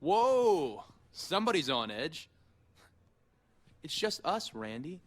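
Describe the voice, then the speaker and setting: A cocky young wrestler, smug and persuasive. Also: male voice, a little high, very animated, takes it slowly, heavily acted. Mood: startled. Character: cocky young male wrestler pitching an alliance.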